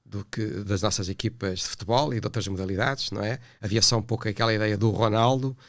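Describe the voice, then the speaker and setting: Low-voiced Portuguese podcast host. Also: male voice, no room sound. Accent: European Portuguese. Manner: measured, articulate questions with occasional fillers. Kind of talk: podcast interview.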